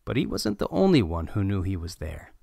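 A man narrating a children's picture book aloud as a read-aloud host, in an animated, expressive storytelling manner. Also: low voice, brisk, gravelly, no room sound, studio quality.

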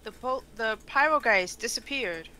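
A woman's voice: a little high, lively intonation, moderate pace, sharp tone. A woman playing a tough, hot-tempered monster investigator with elemental powers in an amateur script read. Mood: determined.

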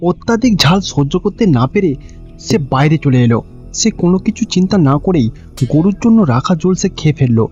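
A man's voice: sits high, very animated, fairly quick, darkish tone. A man with a Bengali accent reading scripted narration for a cartoon story. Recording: dull, lo-fi audio with music behind.

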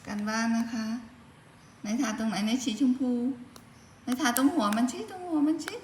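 A woman's voice: fairly high pitch, expressive, normal speed, a little mellow. A woman chatting casually with a small child, coaxing with short, repeated prompts. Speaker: family member filming a young child. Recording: roomy echo, faint background noise.

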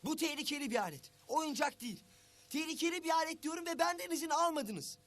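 A man's voice: very high voice, animated, fast, a bit bright. A man voicing a stern but caring guardian in a cartoon, speaking in an admonishing, emphatic way. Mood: alarmed, scolding. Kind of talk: cartoon voice acting.

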